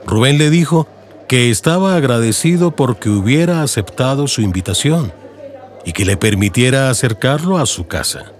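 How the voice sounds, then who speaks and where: A man's voice: mid-range voice, expressive, moderate pace, a faint crowd. A man with a Colombian accent narrating a scripted audiobook in radio-drama style. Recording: faint background noise and ambience.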